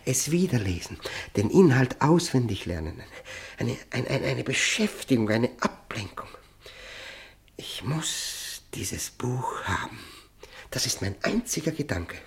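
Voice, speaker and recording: Intense, desperate male prisoner, dramatically animated. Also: mid-range voice, whispered. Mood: tense, secretive. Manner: intense, halting, with repeated words. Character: desperate, obsessive male political prisoner recounting solitary confinement. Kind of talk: German radio play, dramatic first-person narration.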